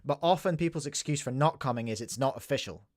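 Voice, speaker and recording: A man's voice: medium pitch, fast talker, no room sound, clean studio sound. A man from Scotland with a Scottish accent, hosting a podcast and talking in a winding, chatty way with asides to listeners. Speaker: podcast host. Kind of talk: casual podcast chat.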